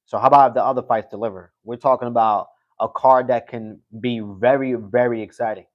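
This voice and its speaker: A man's voice: medium pitch, steady tone, brisk, highs cut off. A man hosting a live stream, chatting loosely as he rambles, repeats himself and swears now and then. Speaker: livestream host. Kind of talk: casual live-stream commentary.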